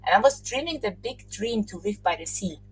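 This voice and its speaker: A woman from Austria, a podcast guest, talking in a relaxed, thoughtful way with frequent laughs and restarted sentences. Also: mid-range voice, brisk, a little hiss or hum, poor audio. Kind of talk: casual interview chat.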